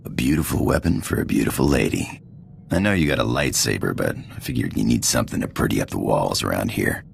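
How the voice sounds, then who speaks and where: Super deep gravelly space pirate for a game, cocky n flirty, drawly slangy swagger. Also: male voice, brisk, a little hiss or hum, heavily acted. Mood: smooth, flirtatious. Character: cocky roguish space pirate, tough flirtatious adult man. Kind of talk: video game character acting.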